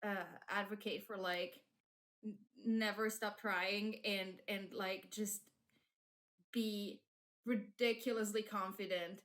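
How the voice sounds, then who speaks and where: A woman's voice: medium pitch, steady tone, slow pace, fairly bright tone. A woman whose first language is Polish, chatting casually on a live stream with many fillers and restarts. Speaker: streamer and fanfic writer.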